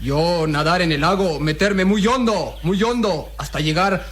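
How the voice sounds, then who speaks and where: Eager high-pitched young villager, pleading. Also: male voice, a little slow, a bit bright. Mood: pleading, insistent. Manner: pleading, repetitive, simple broken Spanish. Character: eager naive young jungle villager craving liquor. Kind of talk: melodramatic radio serial acting. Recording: noisy jungle ambience with animal calls.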